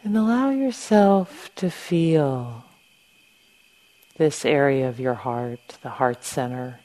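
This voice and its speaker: A woman meditation teacher leading a guided meditation in a gentle, unhurried manner with long pauses. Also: very deep voice, lively intonation. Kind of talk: guided meditation, softly instructing.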